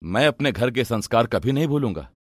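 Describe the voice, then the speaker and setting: Man playing an earnest young son, lively intonation. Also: medium pitch, a little fast, slightly rough, dry recording, studio quality. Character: dutiful, thoughtful young son seeking family harmony. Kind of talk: animated moral story dialogue.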